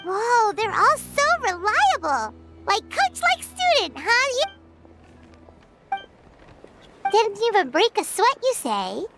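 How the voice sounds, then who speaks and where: Super high squeaky little fairy sidekick from a game, childlike n bubbly, bouncing all over, music blaring under it. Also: female voice, wildly swooping pitch, slow pace, pauses often, full character voice. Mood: impressed. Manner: bubbly, chatty, speaks of herself in third person. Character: tiny floating fairy girl companion, excitable, refers to herself by name. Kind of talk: video game voice acting.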